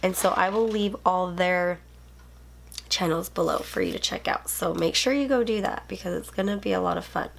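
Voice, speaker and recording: Young mom planner youtuber, bit of vocal fry, sniffly, rambly chatty at her desk. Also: on the low side, moderate pace. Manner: chatty, rambling with many ums and likes. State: sniffly, unwell. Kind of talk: casual plan-with-me chat. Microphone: overhead camera, hands only.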